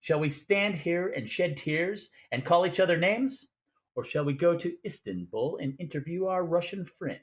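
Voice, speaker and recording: An actor playing a courtly, scheming older crime boss in a 1940s-style radio noir, with pompous, wordy politeness. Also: male voice, fairly high pitch, normal speed, close to an everyday voice. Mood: eager, grandiose. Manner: pompous, florid, mannered politeness. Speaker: stage actor in a radio play.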